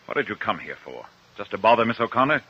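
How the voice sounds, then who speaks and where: An actor playing a stern, protective eye doctor in a 1950s radio drama, blunt and firm. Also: male voice, on the low side, big pitch swings, quick pace, pushed and bright, husky, full character voice. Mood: indignant. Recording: dull, noisy 1950s radio recording.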